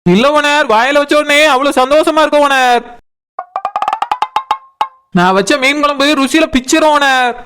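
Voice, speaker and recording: Someone voicing a high-pitched boastful cartoon idli seller. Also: normal speed, long pauses, fairly bright tone, slight background noise. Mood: coaxing. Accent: Kanyakumari Tamil. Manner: sales patter, pleading, repetitive. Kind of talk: comic cartoon dialogue.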